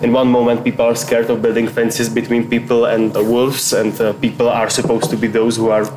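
Flat-toned man, hesitant filmmaker. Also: mid-range voice, fairly quick. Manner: hesitant, many uh fillers, non-native phrasing. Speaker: filmmaker guest. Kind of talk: interview answers. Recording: noticeable background noise.

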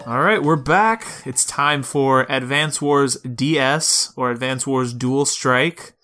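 A man with a bright, forceful voice at a middling pitch talks casually about games. He comes across as an informal podcast co-host, chatting with a peer and free with mild profanity. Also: takes it slowly, faint music behind. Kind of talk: casual gaming podcast chat.

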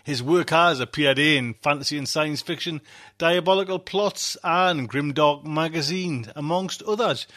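A man's voice: fairly high pitch, unhurried, fairly bright tone. A man hosting a podcast, introducing the show in a chatty, exuberant and emphatic way. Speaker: podcast host.

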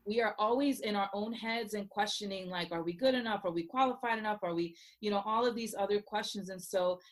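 A woman from Puerto Rico who grew up in Florida, a consultant talking as a podcast guest in a chatty, animated way, laughing easily. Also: medium pitch, brisk. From Puerto Rican, grew up in Orlando, Florida. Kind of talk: casual interview conversation.

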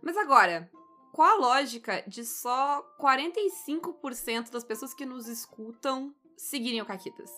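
Bright-voiced woman podcast co-host. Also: sits high, lively intonation, moderate pace, faint music behind. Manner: wry, analytical, curious. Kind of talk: casual podcast chat.

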